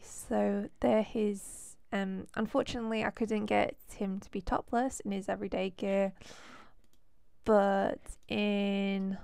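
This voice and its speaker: A woman commentates a life-sim game in a loose, rambling way, full of fillers. Her voice is hoarse from a cold, with a gravelly edge under an otherwise smooth tone. The sound is dry and close, with no room around it. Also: mid-range voice, darkish tone, no room sound. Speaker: let's play host.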